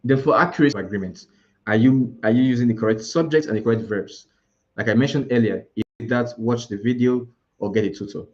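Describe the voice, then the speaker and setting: A man's voice: medium pitch, fast, darkish tone, muffled recording. A man with a Nigerian accent, teaching an IELTS preparation class live, explaining scoring and listing word alternatives with frequent restarts. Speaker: IELTS tutor.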